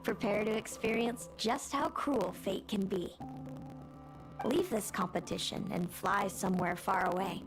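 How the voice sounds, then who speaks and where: A woman voicing a solemn, fatalistic young seer in a video game, speaking gravely and formally. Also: mid-range voice, measured pace, natural voice. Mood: confident, ominous. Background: music.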